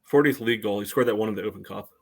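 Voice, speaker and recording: A man's voice: lowish voice, very fast. A man co-hosting a casual sports podcast, matter-of-fact and inclined to check the facts. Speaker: podcast co-host.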